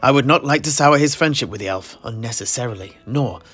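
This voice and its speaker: A man playing a courteous, scholarly tavern keeper in a fantasy audio play, speaking formally and deliberately. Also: medium pitch, animated, fairly bright tone, breathy edge, soft background music. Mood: confiding, cautious. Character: courteous, scholarly tavern keeper and amateur historian, master to apprentices. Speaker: host.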